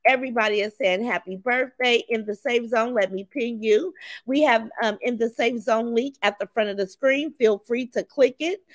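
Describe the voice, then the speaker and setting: A woman with a raspy voice hosting a casual livestream, reading out chat names and talking bluntly and profanely. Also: fairly high pitch, moderate pace, no echo. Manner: brash and profane, reads chat names aloud. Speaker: livestream host.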